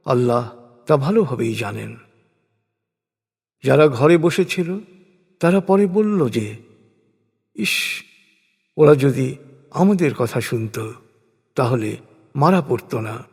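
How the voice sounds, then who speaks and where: A man with a slightly high-pitched voice delivers a solemn reading of translated scripture. He goes very slowly, setting down each phrase deliberately and pausing after it. The voice rings with a long, hall-like reverb. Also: a little mellow, breathy edge. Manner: measured, deliberate phrasing with pauses.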